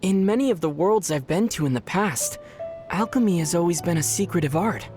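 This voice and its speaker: An actor playing a calm, dry young male traveler from other worlds in a video game. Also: high-pitched, a little fast. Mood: wry. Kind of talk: video game dub dialogue. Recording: game audio with soft music behind.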